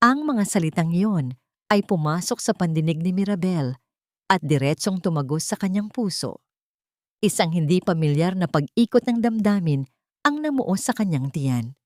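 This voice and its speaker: A woman narrating a scripted love story in a measured, dramatic way. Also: lowish voice, animated, darkish tone, no echo, clean studio sound.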